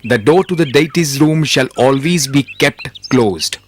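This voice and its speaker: A man acting a wise, steadfast royal minister in a respectful, earnest manner for an animated moral tale. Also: mid-range voice, a little slow, a little hiss or hum, close to an everyday voice. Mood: brisk, instructing.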